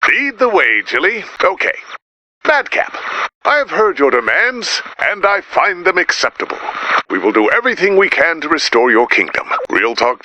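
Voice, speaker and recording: An actor voicing a fussy, exasperated base director in a video game, officious and wordy, with hesitant moments. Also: male voice, on the high side, bright, forceful tone, exaggerated voice, poor audio. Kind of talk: animated video game mission dialogue.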